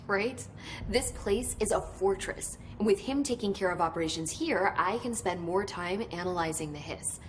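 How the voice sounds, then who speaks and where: Lady doing a keen mad-scientist type, brisk, faint room hum. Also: medium pitch, animated, natural voice, poor audio. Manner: brisk, gets carried away with enthusiasm. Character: excitable female research scientist.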